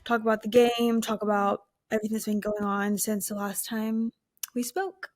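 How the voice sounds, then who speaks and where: Soft-spoken woman in her late twenties. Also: medium pitch, level tone, normal speed, dry recording. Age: late 20s. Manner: soft-spoken, tired, giggly. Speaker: podcast co-host. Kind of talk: casual chat.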